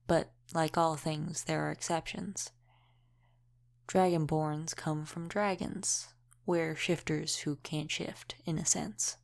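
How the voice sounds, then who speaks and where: A woman playing a centuries-old, world-weary female vampire in an audio roleplay, gruffly protective, speaking in an unhurried, wry, folksy way with dropped g's. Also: low voice. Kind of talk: intimate audio roleplay acting.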